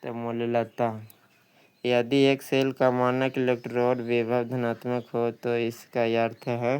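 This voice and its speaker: Clear-voiced male exam tutor, even tone. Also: mid-range voice, takes it slowly. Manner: repeats questions and answers. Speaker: exam coaching teacher. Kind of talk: practice question walkthrough, reading questions then giving answers.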